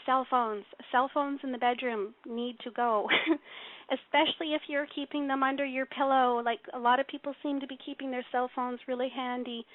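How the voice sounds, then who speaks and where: A woman's voice: high-pitched, steady tone, normal speed, no room sound. Woman in her 40s, sounds younger, earnest and chatty with lots of ums, phone-line sound. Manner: earnest, with many fillers and restarts. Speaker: speaker on a teleconference call. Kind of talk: casual personal talk.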